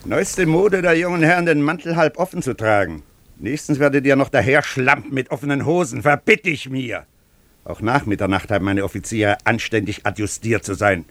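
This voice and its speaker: An actor playing a gruff, domineering older army colonel in a German radio drama, barking curt commands. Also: male voice, mid-range voice, moderate pace, natural voice. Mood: barking, indignant.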